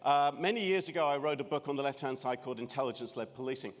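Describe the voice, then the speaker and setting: A man's voice: medium pitch, very fast. Wry Scottish man in echoing hall. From Scotland. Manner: wry, self-deprecating, many restarts. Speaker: keynote speaker. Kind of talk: humorous keynote talk. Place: large conference room. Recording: reverberant conference hall.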